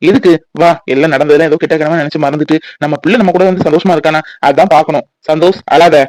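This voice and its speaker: A man's voice: on the high side, fairly even intonation, fast, a little mellow, dry recording, close to an everyday voice. A man playing a protective grandfather in a family drama, consoling his family firmly. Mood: reassuring, firm. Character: protective, reassuring grandfather and head of household.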